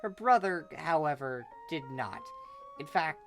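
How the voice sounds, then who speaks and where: A narrator reading fan fiction aloud in an expressive storytelling style. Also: mid-range voice, measured pace. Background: background music.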